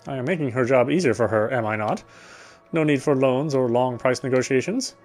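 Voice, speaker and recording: Medium-pitched man narrating a story game. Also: a little fast, darkish tone. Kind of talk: first-person story narration, read aloud. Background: faint music.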